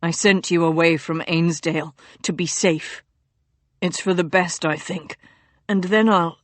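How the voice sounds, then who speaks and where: A feverish, delirious young duke murmurs in a voice pitched well below hers, as a woman narrator puts him on. He speaks slowly in a quiet hush that drifts in and out of sense, as if half asleep. The reading is close and dry, in a storybook manner. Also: steady tone, no echo, close to an everyday voice. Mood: quietly lucid. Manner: low hushed murmuring, drifting in and out.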